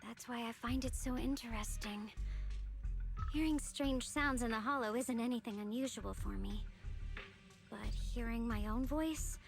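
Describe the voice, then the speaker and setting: A coy young female agent from a video game, speaks in a fairly high voice over clear background music. She teases in measured phrases, playful with a faintly eerie edge. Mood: musing, eerie. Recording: music behind the voice.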